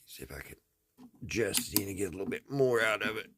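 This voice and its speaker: A man's voice: lowish voice, expressive, fast, background noise. An American man talking casually and folksily, thinking aloud as he hosts a hands-on repair vlog. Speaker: host of a radio repair video.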